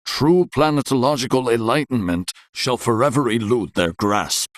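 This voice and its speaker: A man's voice: medium pitch, moderate pace, dry recording, studio quality, close to an everyday voice. A man playing a disdainful, snobbish scholar in a video game, speaking in a haughty, dismissive way. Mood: scornful. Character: disdainful, snobbish male scholar of planet lore.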